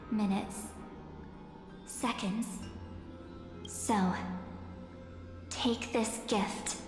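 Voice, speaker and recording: A woman playing a solemn, mysterious, guarded figure in a game cutscene, speaking gravely and deliberately in poetic phrases. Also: medium pitch, natural voice. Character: solemn, mysterious, guarded female bearer of warnings. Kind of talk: dramatic game cutscene monologue. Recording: echoey reverberant hall sound. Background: music.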